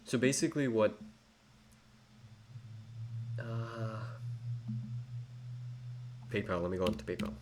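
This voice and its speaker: Low-voiced man with an English accent. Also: slow pace, pauses often. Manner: rambling, self-correcting, many fillers. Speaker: trading educator. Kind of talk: live screen-share trading tutorial. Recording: faint background noise.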